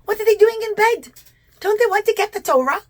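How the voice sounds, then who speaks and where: A woman's voice: very high voice, lively intonation, fairly quick, pitched above the performer's own voice. A woman voicing an earnest, urgent male Biblical prophet with exclamatory, emphatic delivery in a children's puppet show. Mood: shocked, puzzled.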